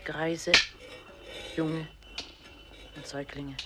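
A man's voice: on the high side, level tone, very slow, over loud music. A man playing a mournful chorus narrator in a radio drama, declaiming poetry in short fragments. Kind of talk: slow poetic radio drama recitation.

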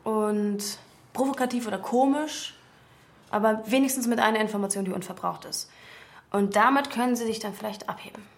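An actress playing a self-assured, sharp-tongued young woman in her twenties in a radio drama, speaking articulately. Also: mid-range voice, a little fast, creaky, close to an everyday voice. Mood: patient, instructive. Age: 20s. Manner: argumentative, sardonic, articulate.

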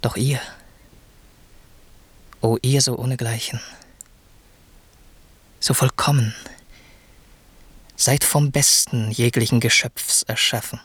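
Radio drama casting: young prince, adoring and servant-humble, ornate verse delivery, husky mid-range voice. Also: male voice, mid-range voice, steady tone, slow, long pauses, a bit bright, no echo. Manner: lofty, ornate declamation.

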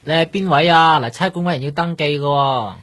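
Police front desk clerk, hong kong cantonese, low dry voice, official, radio drama. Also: female voice, a little fast, no room sound. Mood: businesslike.

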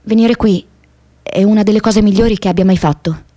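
A woman's voice: mid-range voice, fairly even intonation, brisk, warm tone, close to an everyday voice. An actress playing an idealistic, disillusioned young woman activist in a radio theatre monologue, speaking in an earnest, reflective, confiding way. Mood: disillusioned, determined.

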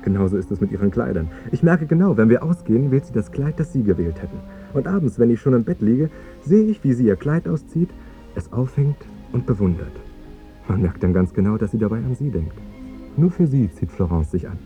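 An actor playing a courteous, guileless fiancé who talks in an eager, earnest and tactless way in a radio drama. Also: male voice, mid-range voice, sing-song, brisk. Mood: chatty, guileless. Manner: polite, chatty, earnest. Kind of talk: 1950s German radio drama acting. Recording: dull 1950s radio recording with music.

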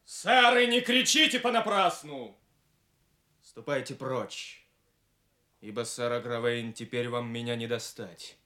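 An actor playing a proud, defiant noble knight, declamatory and commanding, in a theatrical radio play. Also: male voice, mid-range voice, unhurried, pushed and bright.

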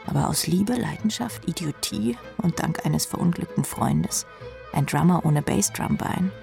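Radio drama lead: wry, reckless drummer, creaky voice, clipped asides, over prominent music. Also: female voice, low-pitched, animated, unhurried, full character voice. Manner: sardonic, clipped asides, often dropping to whisper. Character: wry, reckless female rock drummer telling her story. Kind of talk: first-person radio drama narration.

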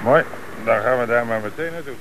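An actor in a Dutch radio play playing a police commissioner who puts terse, probing questions with businesslike authority. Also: male voice, medium pitch, fairly quick, a bit bright, natural voice. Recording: noisy old radio play recording.

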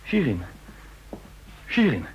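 Animated, concerned comrade-in-arms. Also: male voice, medium pitch, slow pace, slightly rough, muffled recording, full character voice. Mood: alarmed, concerned. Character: excitable, concerned male comrade-in-arms of an agent. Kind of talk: radio drama acting.

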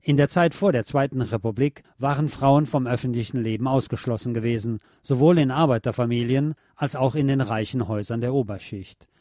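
A man narrates a scripted history programme in a measured, formal way. His voice is soft-edged and mellow, recorded very dry and close, with almost no room sound. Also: medium pitch, no room sound. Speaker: radio narrator.

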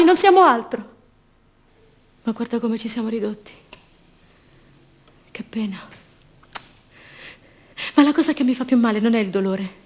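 High-voiced, intense young woman activist. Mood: pained, pleading. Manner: intense, swinging from hushed repetition to fervent declaiming. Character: young Argentine student activist, imprisoned and tortured, passionate, idealistic. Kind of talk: theatrical monologue for radio drama.

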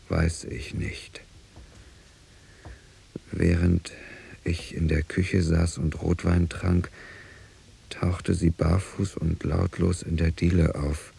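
A weary, uneasy husband reporting in a radio play monologue. His deep voice is very creaky and breathy, slow and hesitant. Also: dark tone, exaggerated voice. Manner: hesitant, subdued, introspective.

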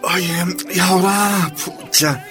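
An actor playing a worried, reluctant young miner husband in a radio drama, grumbling haltingly with a Bolivian accent. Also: male voice, high-pitched, steady tone, over music. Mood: worried, resentful.

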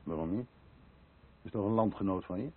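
A man's voice: deep voice, brisk. An actor playing a dry, disapproving male neighbour in a naturalistic radio play, speaking curtly and bluntly. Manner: curt, blunt. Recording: muffled narrow-band old radio recording.

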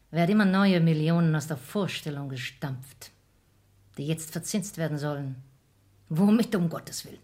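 An actress playing a cold, ambitious grown heiress to a business, with cool, precise diction in a German radio drama. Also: female voice, low-pitched, darkish tone.

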